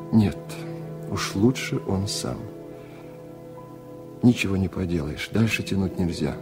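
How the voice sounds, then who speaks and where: A man reading a literary story aloud for radio, in a measured, reflective and restrained way. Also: fairly low pitch, mellow. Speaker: narrator.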